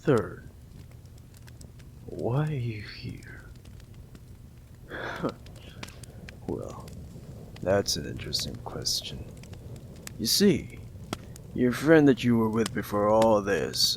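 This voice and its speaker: A man voicing a smug, possessive mafia boss in an audio roleplay, teasing and condescending, with a gravelly drawl and long pauses. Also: huge pitch swings, slight background noise, full character voice. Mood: smug explaining. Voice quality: low, gravelly, drawling delivery with drawn-out pauses. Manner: teasing, condescending, calls listener darling.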